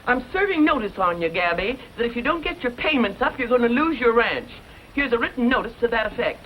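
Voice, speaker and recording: An actress playing a stern, no-nonsense banker in a 1940s western style, brisk and scolding. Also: female voice, on the high side, animated, dull audio, natural voice. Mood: stern, businesslike. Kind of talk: 1940s western film acting. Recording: hissy old film soundtrack.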